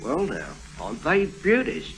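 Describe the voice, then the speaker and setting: An actor playing a jovial, nosy London pub landlord, chummy and teasing, in 1950s radio drama. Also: male voice, a little high, wildly swooping pitch, measured pace, over music, exaggerated voice, rough recording. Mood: sly, appraising. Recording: old radio recording.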